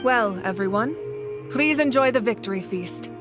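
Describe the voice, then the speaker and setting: A woman acts out a commanding female war leader from a video game. Her voice sits fairly high and carries formal, stately, measured speeches with solemn resolve. Music plays clearly behind her. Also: animated, natural voice. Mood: solemn, grateful. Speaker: leader addressing her people.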